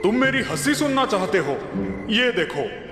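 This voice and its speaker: A man's voice: high voice, big pitch swings, measured pace, exaggerated voice. A man voicing an arrogant, mocking monster in a cartoon, imperious and threatening. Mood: taunting. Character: big menacing male cave monster, arrogant, mocking bully. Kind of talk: theatrical Hindi cartoon villain acting. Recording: echoey cave-like reverb under loud music.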